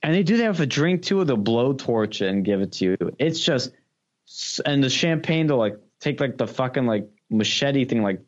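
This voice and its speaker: Young adult male podcast guest, excitable raconteur, foul-mouthed and effusive, slightly muffled low-fidelity recording. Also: moderate pace. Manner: gushing, profane, repeats superlatives. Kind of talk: casual enthusiastic anecdote-telling.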